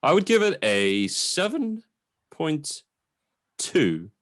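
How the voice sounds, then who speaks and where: A man's voice: on the high side, huge pitch swings, slow pace, fairly bright tone, dry recording, clean studio sound. A man co-hosting a podcast in casual film chat, talking in a hesitant, rambling way and repeating himself. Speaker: podcast co-host.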